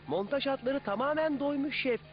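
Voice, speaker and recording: A man's voice: extremely high pitch, normal speed. A man voicing an apologetic, overworked little cell worker in a dubbed cartoon. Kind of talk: dubbed educational cartoon acting. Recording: dull lo-fi soundtrack, music behind.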